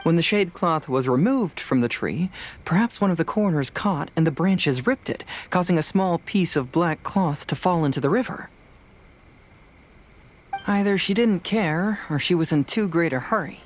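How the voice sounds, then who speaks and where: A man voicing a youthful, analytical detective in a video game, composed and deliberate as he reasons aloud. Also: on the high side, lively intonation, slight background noise, lightly acted.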